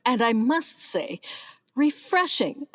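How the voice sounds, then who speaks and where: A woman's voice: high-pitched, sing-song, slow pace, a bit bright, no echo, full character voice. An actress playing a poised, wealthy female vineyard owner and boss with a gracious, genteel manner in a comedic radio play. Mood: gracious, amused.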